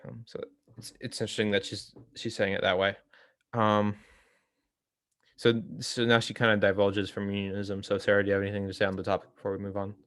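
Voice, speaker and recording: A man's voice: fairly low pitch, monotone, brisk, a bit bright, dry recording, studio quality. A man hosting an informal book discussion, explaining ideas in a hesitant way and often correcting himself. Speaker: host of a political theory read-along.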